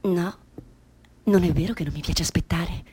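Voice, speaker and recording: A woman's voice: on the low side, moderate pace, darkish tone, breathy edge. A woman playing a bored, capricious schoolgirl in a radio drama monologue, musing in a girlish, petulant manner. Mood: dreamy, sly.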